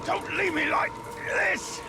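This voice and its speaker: An actor playing a wild, snarling orc minion in a video game, loud, desperate and theatrical. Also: male voice, extremely high pitch, steady tone, slow, loud music behind the voice. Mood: desperate pleading. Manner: shouting, theatrical. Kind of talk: video game cutscene acting.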